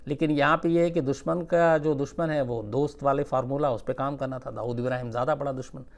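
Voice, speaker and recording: A man telling a true-crime story without a script, conversational and suspenseful, with dramatic pauses. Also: medium pitch, fairly even intonation.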